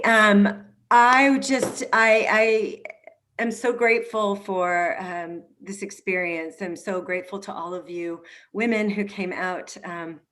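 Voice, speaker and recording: A woman's voice: medium pitch, a bit bright, slight background noise. A woman informally hosting and moderating a panel discussion on a video call. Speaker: event host and moderator.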